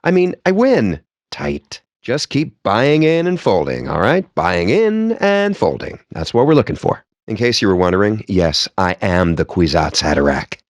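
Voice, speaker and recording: An actor playing a cocky, foul-mouthed, nerdy poker player in a video game, with a sardonic, smug and theatrically wordy delivery. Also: male voice, fairly low pitch, sing-song, measured pace, dry recording. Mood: gloating. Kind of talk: video game character banter.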